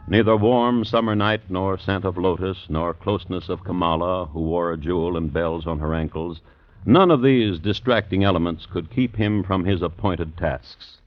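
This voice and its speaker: An actor as a wry, measured storyteller, reading scripted narration in an old-time radio drama. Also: male voice, sits low, close to an everyday voice. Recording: dull vintage radio recording.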